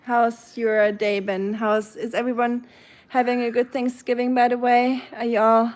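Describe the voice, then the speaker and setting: German woman, german accent, livestream host, chatty casual talk, fairly high voice, phrases trail off quietly. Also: flat delivery, a little slow. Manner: chatty and warm toward viewers, with frequent fillers and soft laughs. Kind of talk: casual chat, reading viewer comments aloud.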